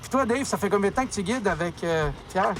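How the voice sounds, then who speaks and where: Host talking over loud music. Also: male voice, high-pitched, expressive, brisk. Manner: casual, chatty, tosses questions to guests. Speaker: show host. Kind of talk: snowmobile magazine host narration and interviews.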